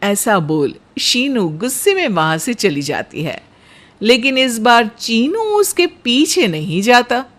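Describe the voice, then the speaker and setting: Woman narrator, cartoon storytelling, slow pace, lively pitch movement, scripted.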